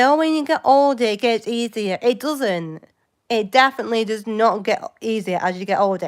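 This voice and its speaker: A young woman in her late twenties talks to her livestream viewers in a loose, meandering way. She hesitates often and hops from topic to topic. Her voice sounds smooth and clear, at a middling pitch for a woman. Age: 20s. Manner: rambling, hesitant, jumps between topics. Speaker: livestream host. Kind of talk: casual live chat with viewers.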